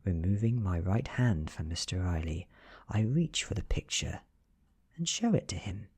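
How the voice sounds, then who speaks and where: Very breathy, low British man reading softly. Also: sing-song, moderate pace. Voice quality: breathy, near whisper. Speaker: narrator. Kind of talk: soft-spoken ASMR horror story reading.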